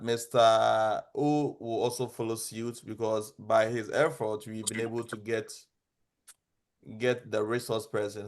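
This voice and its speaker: A man with a Ghanaian accent hosting and moderating an informal online group meeting. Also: lowish voice.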